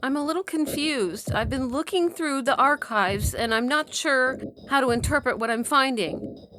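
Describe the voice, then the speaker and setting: A woman's voice: high voice, normal speed, pushed and bright, slight background noise. A woman playing an anxious, controlling mother in a dramatized story reading. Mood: puzzled, uneasy. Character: anxious, controlling mother, distressed over her daughter. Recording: music under the voice.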